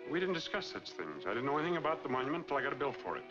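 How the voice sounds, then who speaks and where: A man playing a quick-talking, evasive noir husband. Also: medium pitch, big pitch swings, lightly acted. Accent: American. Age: middle-aged. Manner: guarded, evasive. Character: uneasy, evasive wealthy middle-aged husband. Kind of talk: 1940s film noir acting. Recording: dull 1940s film soundtrack, loud music.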